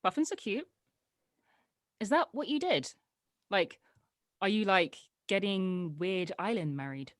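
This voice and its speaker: A woman's voice: medium pitch, animated, unhurried, long pauses, dry recording, studio quality, lightly acted. A woman playing a sarcastic, headstrong teenage daughter in an audio drama table read, teasing her way through the lines. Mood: needling, dismissive.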